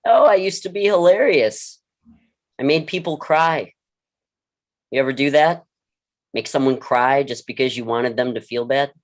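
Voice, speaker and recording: An actor in a staged play reading, voicing a blunt, world-weary mentor who advises a troubled student in a deliberate, earnest, dryly frank way. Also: mid-range voice, lightly acted. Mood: wry confession.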